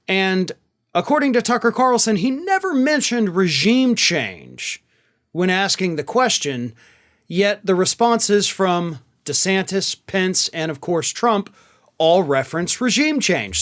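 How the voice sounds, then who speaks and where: Male political commentator, high-pitched voice, emphatic and sarcastic, opinionated host delivery. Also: fairly bright tone. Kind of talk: opinionated news commentary to camera.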